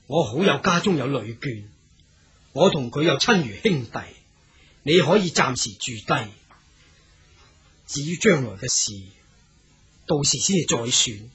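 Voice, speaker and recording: An actor playing an earnest, proud young wandering swordsman in a radio drama, speaking in a theatrical, deliberate way. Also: medium pitch, expressive, a bit bright, slightly rough, heavily acted. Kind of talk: Cantonese radio drama acting.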